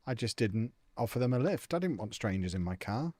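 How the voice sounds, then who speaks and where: A man's voice: mid-range voice, very animated, fairly quick, a little mellow, no room sound. A young man chatting casually and jokily on a live stream, answering viewers' comments as he plays a football management game.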